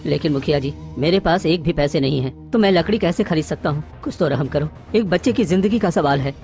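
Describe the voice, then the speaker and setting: A woman acts out a desperate young father from a poor village in a cartoon. He pleads earnestly and urgently in a lowered voice, with light music underneath. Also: a little fast, a little mellow. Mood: pleading, defiant. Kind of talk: melodramatic cartoon dialogue acting.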